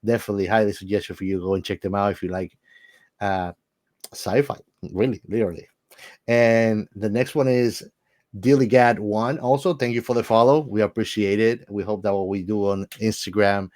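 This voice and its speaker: A man's voice: lowish voice, steady tone, normal speed, darkish tone, no echo, clean studio sound. A man co-hosting a podcast in casual chat, enthusiastic and repeating words for emphasis. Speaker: podcast co-host.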